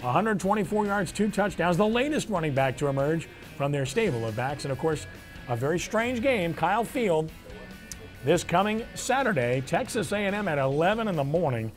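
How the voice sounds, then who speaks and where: A man's voice: on the high side, animated, moderate pace, soft background music. A man hosting a sports talk show, delivering commentary and discussion like an anchor. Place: on location at a restaurant.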